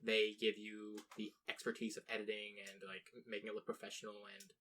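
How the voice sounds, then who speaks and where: A man's voice: on the low side, completely flat delivery, a little fast, a bit bright, slight background noise. Rambling young American man. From United States. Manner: rambling, restarts, frequent swearing and laughter. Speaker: podcast co-host. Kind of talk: casual chat.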